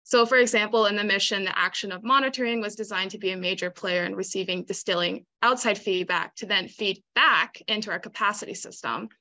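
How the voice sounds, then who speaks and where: A young woman giving a measured research presentation on a video call. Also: mid-range voice, steady tone, pushed and bright. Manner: measured, explanatory. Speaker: student presenter. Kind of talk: conference presentation.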